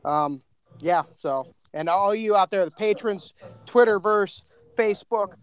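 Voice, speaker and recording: Higher-voiced man with a dry close sound. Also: unhurried, clear, resonant tone, dry recording. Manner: effusive, rambling, informal. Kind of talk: casual group chat.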